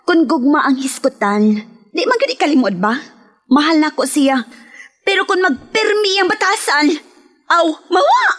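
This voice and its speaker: Woman acting a high-pitched, exasperated Visayan wife. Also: very animated, normal speed, fairly bright tone. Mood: weary complaint. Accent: Visayan Filipino. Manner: plaintive, scolding. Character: exasperated, emotional wife of a jealous husband. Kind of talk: radio drama acting.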